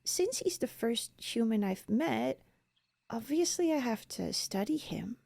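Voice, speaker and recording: Woman doing a curious bookish elf girl for asmr roleplay, mellow n breathy, bit of vocal fry, teasing. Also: on the high side, expressive, a little slow, exaggerated voice. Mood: playful, curious. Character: curious, playful, scholarly young female elf forest warden.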